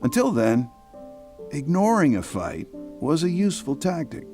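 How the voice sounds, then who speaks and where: A man giving measured, wry scripted narration for a radio drama. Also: fairly high pitch, very animated, warm tone, soft background music.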